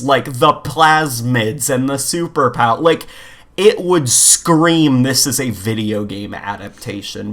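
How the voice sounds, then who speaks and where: Male co-host for a casual gaming podcast: mid-pitched, informal, opinionated, wandering phrasing with lots of "like". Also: fairly bright tone. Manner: informal, opinionated, rambling with frequent "like".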